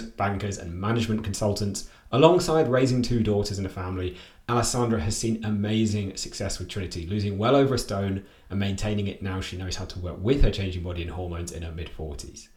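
Male podcast host, low voice, chatty questions, echoey room. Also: a little fast, a little mellow. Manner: loose and chatty in questions, with repeated "kind of" and "like". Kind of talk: scripted intro, then interview. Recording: roomy echo.

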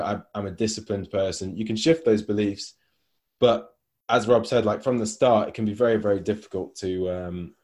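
Mellow, low-voiced podcast man. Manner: conversational, many fillers like "like" and "you know". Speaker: podcast co-host, coach. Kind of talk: casual podcast discussion.

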